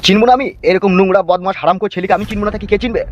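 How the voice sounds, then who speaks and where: A man's voice: high voice, steady tone, very fast, natural voice. A man voicing an irate, suspicious older village father in a comedy cartoon. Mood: angry, accusing. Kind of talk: comic cartoon dialogue. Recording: dull lo-fi sound with music behind.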